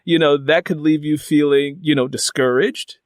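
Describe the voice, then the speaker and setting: A man's voice: fairly high pitch, steady tone, normal speed, no room sound, studio quality. A man hosting a casual interview, telling personal stories in a meandering way peppered with "you know". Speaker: interviewer and host.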